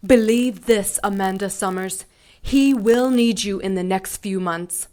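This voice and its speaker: A woman plays a serene, commanding angel for radio. She speaks slowly and formally in a mid-range voice. Every phrase is grave and measured. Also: mid-range voice, mellow, close to an everyday voice. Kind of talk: radio drama acting.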